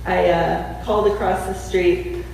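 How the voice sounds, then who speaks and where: A woman's voice: on the low side, steady tone, gravelly, a little mellow, a little hiss or hum. Female preacher at a storytelling sermon, heard from far off in a very echoey church hall. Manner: storytelling with slowed emphasis. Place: church sanctuary.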